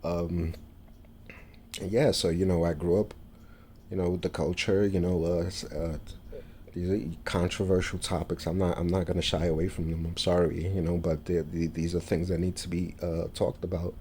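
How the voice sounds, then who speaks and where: Deep new guy rambling to camera, stops and restarts a lot, mellow low voice. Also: little pitch movement, a little hiss or hum. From New York, USA. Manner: halting, many fillers and restarts. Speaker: vlogger. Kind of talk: casual monologue to camera.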